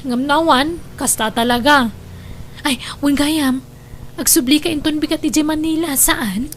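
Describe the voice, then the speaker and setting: A woman's voice: high voice, normal speed, slight background noise, lightly acted. A woman with an Ilocano accent playing a lonely, resentful young wife in an audio drama, plaintive and evasive. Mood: wistful.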